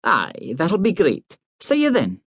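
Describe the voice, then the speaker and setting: A man reading aloud and voicing a busy mother, brisk and coaxing. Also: high-pitched, huge pitch swings, fairly bright tone, breathy edge, no echo, clean studio sound, voice pushed up, full character voice. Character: Scottish middle-aged mother, busy and brisk.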